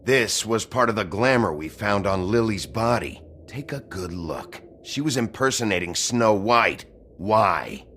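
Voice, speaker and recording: An actor playing a gruff, hard-boiled wolf sheriff in a video game, terse and accusing, with a gravelly voice. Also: male voice, fairly low pitch, lively intonation, a little slow, a bit bright, slight background noise. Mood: demanding.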